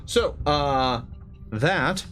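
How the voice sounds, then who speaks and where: A man working as game master, narrating a tabletop game off the cuff in a halting, deliberating way. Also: on the high side, expressive, fairly bright tone, soft background music.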